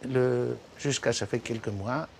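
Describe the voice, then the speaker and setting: A man, answering interview questions in a relaxed, expansive way, with small slips. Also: medium pitch, a little slow, creaky, slight background noise. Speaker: interviewee, businessman.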